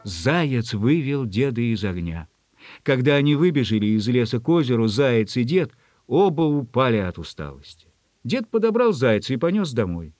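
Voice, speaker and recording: A man reading a literary story as an audiobook narrator, with a husky voice. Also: mid-range voice, darkish tone, dry recording.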